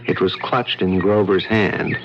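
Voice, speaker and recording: An actor playing a dogged, deliberate investigator in an old-time radio drama, with measured delivery. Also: male voice, deep voice, fairly even intonation, background noise. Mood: grimly assured. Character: dogged, deliberate male investigator laying out evidence. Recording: muffled old radio broadcast.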